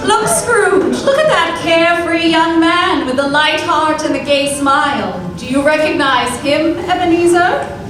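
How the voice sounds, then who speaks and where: A woman playing a solemn female spirit of Christmas past who speaks slowly, in a live radio play. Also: very high-pitched. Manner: slow, solemn. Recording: echoey hall recording from far off.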